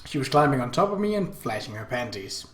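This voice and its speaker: A man narrating a visual novel aloud as an expressive, theatrical first-person story reading, in an echoey room. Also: medium pitch, a little fast. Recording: roomy, echoey home recording.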